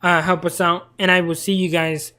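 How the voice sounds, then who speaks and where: A man's voice: sits high, level tone, normal speed, sharp tone. A young man streaming and chatting casually about cartoons in a rambling, talkative way. He is tired and losing his voice. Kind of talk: casual live-stream commentary.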